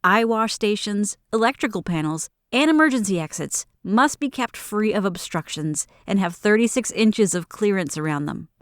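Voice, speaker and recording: A woman reading scripted workplace training narration in a measured, formal, clearly enunciated way. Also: mid-range voice, expressive, dry recording, clean studio sound. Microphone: close studio microphone in a booth.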